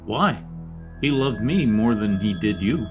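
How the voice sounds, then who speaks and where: An actor playing a proud, brooding young male outcast in an old-time radio drama, intense and sullen with a husky voice. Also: mid-range voice, expressive, a little slow, dull audio, over music, natural voice. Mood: wounded, resentful.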